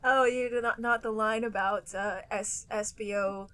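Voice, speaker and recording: A woman's voice: on the high side, fairly even intonation, moderate pace, bright, forceful tone. Chatty young woman podcast co-host. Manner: laughs often, many "like" fillers. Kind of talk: casual chat.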